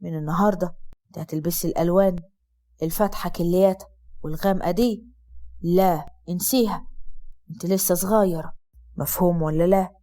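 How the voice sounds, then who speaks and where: A firm, tender young husband, pitched low and quiet, as a woman narrator puts him on. He keeps his words soft but commanding, and the delivery moves quickly. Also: fairly even intonation, natural voice. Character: stern, tender young Upper Egyptian husband.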